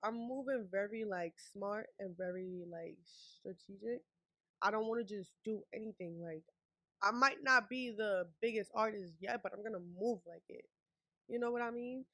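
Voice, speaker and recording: A woman's voice: mid-range voice, normal speed, no room sound. Young woman from puerto rico, chill slangy talk, dry close mic. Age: young adult. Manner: laid-back, slangy, lots of fillers, soft-spoken. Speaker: rapper guest. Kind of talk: casual interview chat.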